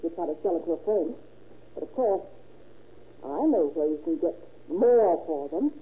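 A woman's voice: fairly low pitch, lively intonation, normal speed, husky. A woman playing a holdup victim in a 1930s radio crime drama, with emphatic theatrical delivery. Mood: earnest bargaining. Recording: old narrow-band radio recording.

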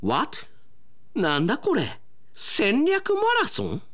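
A man playing an excitable, whiny, hapless young man in a comic radio drama, with theatrical exclamations. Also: high-pitched, slow, heavily acted. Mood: reluctant, puzzled. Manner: theatrical exclamations, sprinkles in English phrases.

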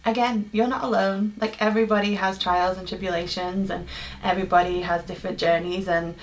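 A young woman speaks at an everyday mid pitch. She talks through listener questions in a chatty, earnest way, circling back with ums and false starts as she thinks aloud. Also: little pitch movement, a little fast, low-quality recording. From Manchester, England. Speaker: podcast host. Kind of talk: casual solo Q&A monologue.

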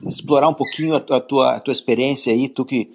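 A man's voice: mid-range voice, steady tone, fast, creaky, husky. A man with a Brazilian Portuguese accent hosting an interview in a friendly, chatty way, often restarting phrases. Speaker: host. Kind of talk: podcast interview.

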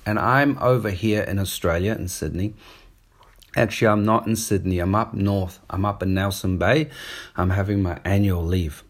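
Brief: male, New Zealand accent, mid-range voice, casual digressive monologue. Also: medium pitch. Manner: rambling, digressive, conversational. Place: on holiday up the coast in Australia.

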